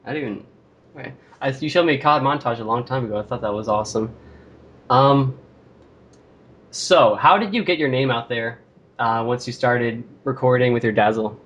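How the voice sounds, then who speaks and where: A man's voice: mid-range voice, normal speed, fairly bright tone. A teenage boy hosting a casual interview, asking friendly questions with frequent "um"s.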